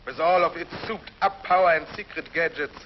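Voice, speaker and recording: A man's voice: a little high, fairly quick, a little hiss or hum, close to an everyday voice. An actor playing a gloating, ruthless escaped convict in a 1950s TV drama, taunting his captives. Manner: taunting, sneering. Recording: dull lo-fi 1950s TV soundtrack.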